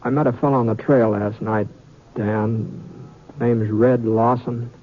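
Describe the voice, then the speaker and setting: A man's voice: mid-range voice, fairly even intonation, unhurried, close to an everyday voice. Man acting a folksy gunslinger, muffled old radio. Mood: worried, earnest. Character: easygoing, loyal gunslinger cowboy, peaceable and folksy. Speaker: lead actor and narrator. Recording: dull, noisy old radio recording.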